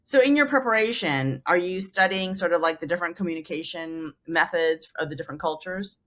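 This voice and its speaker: Low-voiced woman hosting an interview. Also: brisk, a bit bright. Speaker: podcast host, interviewer. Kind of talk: conversational interviewing.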